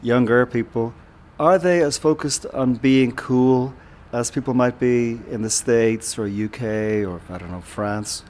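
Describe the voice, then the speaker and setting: A man's voice: mid-range voice, measured pace, a little mellow. A man interviewing a guest, putting his questions and remarks in a conversational way.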